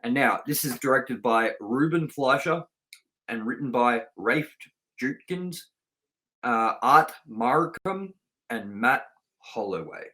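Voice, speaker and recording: A man's voice: medium pitch, animated, takes it slowly, sharp tone, rough recording. A middle-aged man co-hosting a casual, sweary film review, speaking in an emphatic, opinionated way. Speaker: co-host of a film review show.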